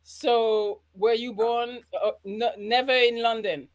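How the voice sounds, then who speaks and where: A woman hosting a dating show, asking questions in a halting way full of fillers. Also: fairly high pitch, fairly even intonation, no echo, rough recording. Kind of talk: casual interview.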